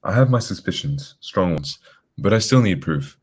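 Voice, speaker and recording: A very low, husky-voiced detective acted by a man from a radio mystery. He is formal, deliberate and sure of himself, giving orders with authority. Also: lively intonation. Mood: assured. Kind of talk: old-time radio drama acting.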